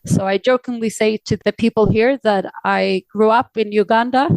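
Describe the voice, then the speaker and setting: A woman's voice: fairly high pitch, steady tone, moderate pace, creaky, a little mellow, clean tone, no echo, rough recording. A woman with a Swedish accent, answering reflectively as a podcast guest, with hesitant, searching phrasing. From Sweden. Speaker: podcast guest, aid organisation director. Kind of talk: reflective interview conversation.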